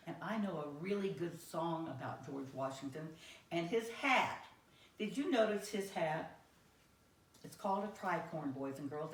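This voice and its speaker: An American woman telling a story to young children in a simple, deliberate teacherly way, with questions to her listeners. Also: sits low, slight background noise. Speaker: children's teacher reading aloud. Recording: roomy echo, distant microphone.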